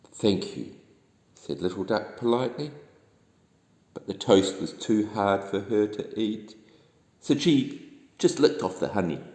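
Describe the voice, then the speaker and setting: Man, New Zealand accent, bedtime story reader, unhurried, mellow, dramatic, echoey room. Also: fairly low pitch, animated. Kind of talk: expressive children's bedtime story reading. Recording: echoey room with long reverb.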